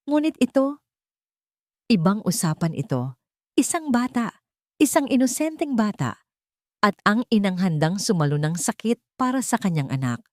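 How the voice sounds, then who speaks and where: A woman's voice: on the low side, darkish tone, dry recording, clean studio sound. A woman narrating a scripted romantic melodrama in a dramatic storytelling style.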